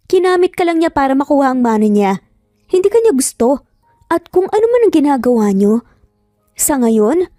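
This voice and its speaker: Woman acting a haughty, pouty, high-pitched young rival. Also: mellow, no room sound, clean studio sound. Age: young adult. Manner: affected, pouty, taunting.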